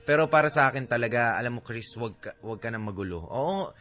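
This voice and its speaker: Filipino man, radio DJ, medium pitch, casual advice talk, conversational halting delivery. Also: expressive, a bit bright, lo-fi sound. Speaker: radio DJ co-host. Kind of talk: casual radio advice banter, reading listener messages.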